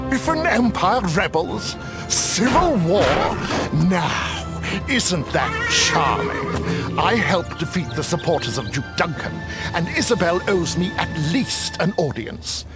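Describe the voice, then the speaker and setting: An actor playing a sly old necromancer in a video game, mockingly courteous and gleefully macabre, with a theatrical drawl. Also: male voice, high-pitched, full character voice. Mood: gleeful, amused. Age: older. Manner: theatrical, drawling, mock-sweet. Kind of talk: video game cutscene acting.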